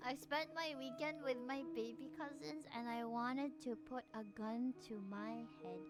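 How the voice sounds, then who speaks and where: High-voiced young Filipino woman streaming. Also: measured pace. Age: young adult. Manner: playful, expressive, slangy. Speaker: livestreamer playing a video game. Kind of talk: casual chat with stream viewers and reading game dialogue aloud. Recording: music playing behind the voice.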